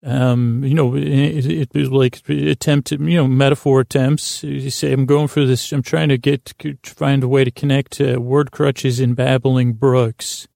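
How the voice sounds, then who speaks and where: A man hosting a podcast in a halting, silly, absent-minded monologue, full of digressions, pauses and repeated words. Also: mid-range voice, little pitch movement, creaky, dark tone, no room sound, clean studio sound. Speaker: podcast host. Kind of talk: rambling whimsical monologue meant to distract listeners.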